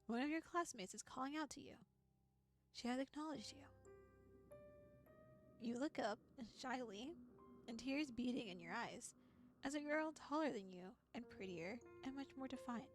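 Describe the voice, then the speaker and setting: A woman voicing an arch, formal female narrator, reading visual novel text in a deliberate, dramatic way. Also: sits high, expressive, soft background music. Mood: hushed, ominous. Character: arch, ornate female narrator of a dark dream tale.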